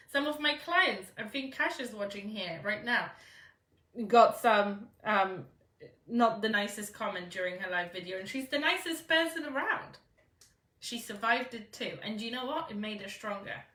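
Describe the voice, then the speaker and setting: Bright Polish-accented woman coaching on a live stream. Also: a little high, lively intonation, unhurried, roomy sound, far from the mic. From Poland. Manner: encouraging, reads out viewer comments, frequent "right?". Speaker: business coach. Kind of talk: live-stream talk to viewers.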